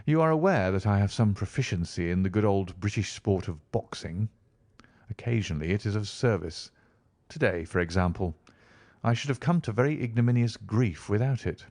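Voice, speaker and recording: A man plays a confident gentleman detective in a storybook reading. His voice sits fairly low, with articulate, measured and wry delivery. The recording is dry and close. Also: animated, dry recording. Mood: amused, brisk. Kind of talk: audiobook character reading.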